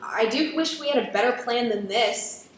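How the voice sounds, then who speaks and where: High-voiced, sardonic schoolgirl played by a woman. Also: moderate pace, natural voice. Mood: doubtful. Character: skeptical, sardonic older-sister schoolgirl. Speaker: actor in a radio play. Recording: echoey room, distant mic, faint noise.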